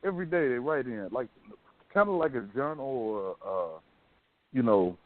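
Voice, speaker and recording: A man's voice: medium pitch, lively intonation, normal speed, a crowd in the background. Man talk host on a phone-like line. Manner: colloquial, storytelling. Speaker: radio talk show host. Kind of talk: casual opinionated chat. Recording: thin phone-like band-limited sound.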